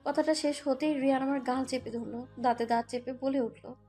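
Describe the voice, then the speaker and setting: A woman's voice: sits high, fairly even intonation, fairly quick, warm tone, close to an everyday voice. A woman playing a hurt, defiant young mother who narrates her own romantic audio story, with an emotional, scolding manner. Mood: hurt narration. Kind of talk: first-person Bengali audio story narration and dialogue. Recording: music bed behind the voice.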